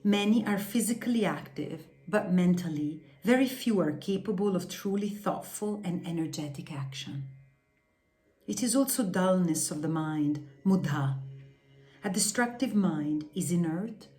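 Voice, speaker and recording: A woman with a fairly low voice reads a scripted lesson on yoga philosophy. Her delivery is measured and deliberate, with each term carefully pronounced. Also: lively intonation, dark tone, faint music behind. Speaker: reader of a yoga philosophy text. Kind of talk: scripted reading, instructional.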